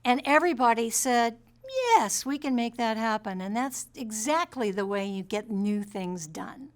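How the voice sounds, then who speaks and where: A woman telling a story in a documentary interview, in an emphatic, measured way. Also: on the high side.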